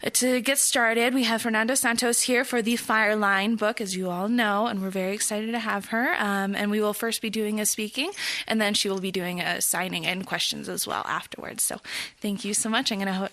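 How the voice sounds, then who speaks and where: A woman's voice: mid-range voice, sharp tone, dry recording. A young woman hosting a bookstore event, thanking the audience and introducing the author in an upbeat way.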